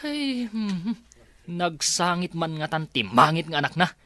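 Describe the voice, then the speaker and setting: A man's voice: a little high, big pitch swings, a little slow, bright, forceful tone, dry recording, full character voice. A man with an Ilocano accent playing a surly, domineering husband in a radio-style drama. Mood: irritated.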